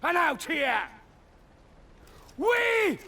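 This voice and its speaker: Forceful Roman army commander. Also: male voice, extremely high pitch, sing-song, very slow, exaggerated voice. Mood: rousing, shouting. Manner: forceful oratory, barking orders to troops. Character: commanding Roman army general, gruff and rousing. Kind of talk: video game cinematic acting.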